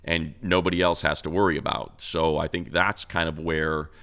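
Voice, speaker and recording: A man's voice: very deep voice, flat delivery, moderate pace, a bit bright, smooth. A man hosting a sports talk show, casually analyzing in a conversational, explanatory way and posing rhetorical questions.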